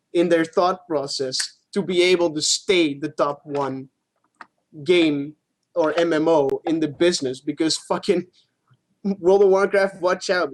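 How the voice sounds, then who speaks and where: A man's voice: fairly high pitch, a little hiss or hum. A man co-hosting a gaming show, chatting casually and giving blunt opinions with some casual swearing.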